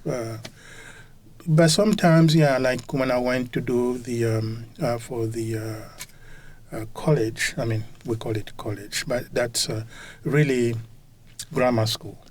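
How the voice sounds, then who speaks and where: A man in his fifties from Congo, speaking in a thoughtful interview with frequent hesitations and filler sounds. His voice sits at an ordinary pitch, and a faint noise runs under it. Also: fairly even intonation, slow, a little mellow. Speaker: college professor. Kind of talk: conversational interview.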